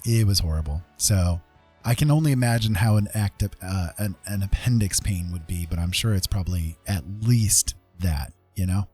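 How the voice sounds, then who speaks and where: Casting: American male streamer, husky, slightly deep, chatting casually with stop-start repetitions over quiet music. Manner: halting, often repeats words. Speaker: livestream host. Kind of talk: casual livestream chat.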